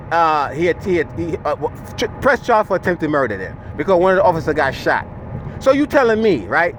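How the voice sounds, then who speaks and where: A man's voice: high voice, a bit bright. A man with a Jamaican accent ranting profanely to his viewers while driving, often stumbling and repeating words, with faint road noise from his vehicle. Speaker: vlogger. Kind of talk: ranting commentary to camera. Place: inside a large vehicle, driving.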